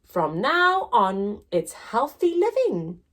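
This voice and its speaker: A woman's voice: on the high side, huge pitch swings, slow pace, fairly bright tone, heavily acted. A woman voicing a bossy, determined mother elephant in a children's storybook, speaking sternly and emphatically. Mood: firm, bossy.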